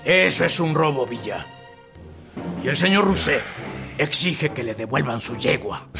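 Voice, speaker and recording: An actor playing an authoritarian, hostile army general with a gravelly voice and Mexican accent in a radio drama. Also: male voice, a little high, lively intonation, a little slow. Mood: indignant, menacing. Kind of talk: historical radio drama acting. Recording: music behind the voice.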